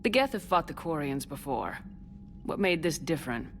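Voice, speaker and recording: An actress playing a tough, direct military commander in a video game, blunt and probing. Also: female voice, low-pitched, sing-song, normal speed, a little hiss or hum. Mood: serious.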